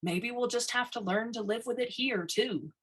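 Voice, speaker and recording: A plain-spoken older man voiced by a woman, the fatherly veteran of a work crew in a science fiction storybook. He is steady, wry and persuasive, with a husky edge. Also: a little high, normal speed, voice pushed up. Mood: coaxing.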